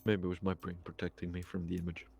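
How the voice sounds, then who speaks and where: A man's voice: low voice, completely flat delivery, fast, vocal fry, a little mellow. A man playing a tabletop game, teasing the others in a playful way. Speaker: player. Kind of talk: casual game chat.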